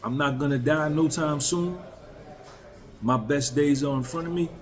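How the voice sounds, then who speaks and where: A man's voice: fairly high pitch, moderate pace, faint music behind. Blunt middle-aged man talking straight. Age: 50s. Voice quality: blunt, candid, emphatic. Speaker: YouTuber. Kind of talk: casual talk to camera.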